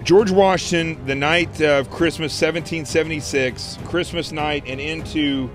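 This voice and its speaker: A man hosting a political commentary livestream, preaching emphatically and repeating phrases, his voice going hoarse. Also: fairly high pitch, fairly even intonation, a little slow, over music. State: losing his voice. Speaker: show host.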